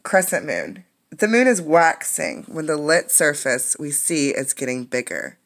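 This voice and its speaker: Woman reading aloud with a creaky voice. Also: low voice, sing-song. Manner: explanatory, pausing to comment and ask questions. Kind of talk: interactive read-aloud of a children's science book.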